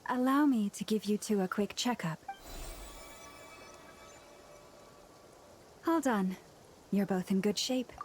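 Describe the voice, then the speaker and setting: A woman voicing a gentle, devout young forest healer in a video game, speaking in a serene, formal and resolute way. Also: mid-range voice, normal speed, a little hiss or hum, close to an everyday voice. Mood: caring, calm. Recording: loud game music behind. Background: loud game music.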